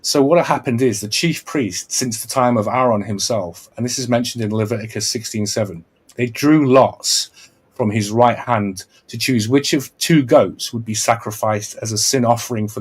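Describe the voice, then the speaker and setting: This is a man holding forth on a casual podcast, earnest and lecturing. His voice sits at an ordinary middle pitch, and he often restarts his phrases and tags them with "right?" as he goes. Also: normal speed, slightly rough. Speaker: podcast host.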